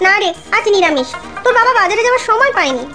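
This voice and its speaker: A woman's voice: very high voice, big pitch swings, very fast, music in the background. A woman playing a young village mother in a children's cartoon, by turns coaxing and complaining as she chides. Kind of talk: Bengali children's cartoon acting.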